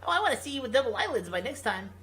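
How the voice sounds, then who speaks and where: A man reads the part of a sardonic young Korean woman, a pro gamer in a visual novel. She is indignant and her pitch swings widely. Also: big pitch swings, racing, pushed and bright, exaggerated voice. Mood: mocking, sleazy imitation. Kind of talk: visual novel character reading.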